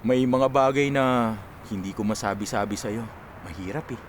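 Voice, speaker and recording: Actor as young man in his twenties, Filipino accent, medium-pitched voice, earnest, playful, lovestruck best friend, radio drama, music underneath. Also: normal speed, a little hiss or hum, close to an everyday voice. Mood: casual, friendly. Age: 20s. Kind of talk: Tagalog radio drama acting. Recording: music behind the dialogue.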